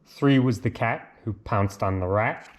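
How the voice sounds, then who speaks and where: A man reads a children's storybook aloud in a deliberate, lilting way, with casual asides. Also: fairly low pitch. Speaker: reader of picture books.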